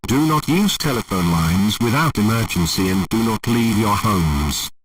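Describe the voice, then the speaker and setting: A man's voice: mid-range voice, fairly bright tone, far from the mic, slight background noise, rough recording. A man reading a formal scripted emergency broadcast announcement in a stiff, impersonal, official manner.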